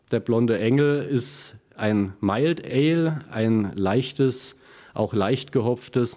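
A man from Upper Franconia with a Franconian German accent, talking in a relaxed, explanatory way with plenty of fillers. His voice sounds mellow and dark. He sits in a small basement brewery room. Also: medium pitch. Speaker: small craft brewer, guest. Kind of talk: casual interview.

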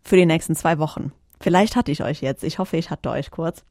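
A woman's voice: sits low, a little fast, darkish tone, no room sound. A woman hosting a podcast alone, chatting informally in a studio and often searching for her words. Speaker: podcast host. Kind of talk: casual solo podcast announcement.

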